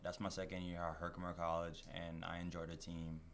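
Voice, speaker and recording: A young man from Haiti, a student soccer captain answering in quiet, short phrases that trail off, in a college studio. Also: sits low, monotone, a little fast, slight background noise. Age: young adult. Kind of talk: casual interview answers.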